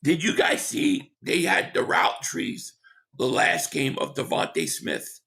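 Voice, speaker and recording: A man hosting a sports talk livestream, hoarse and losing his voice, reading chat comments and giving rambling, opinionated takes. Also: on the high side, very animated, slow, pushed and bright. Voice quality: hoarse, gravelly. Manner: rambling, opinionated, repeats himself.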